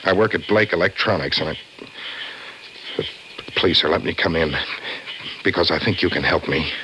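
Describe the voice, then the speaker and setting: Radio-play role: guilt-wracked young man, frantic and stammering, low-pitched voice with a sharp, forceful edge. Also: moderate pace, a little hiss or hum, rough recording. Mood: agitated, pleading. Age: young adult. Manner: frantic, stammering, repeating himself. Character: distraught, guilt-ridden young man confessing to murder. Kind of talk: mid-century radio drama acting.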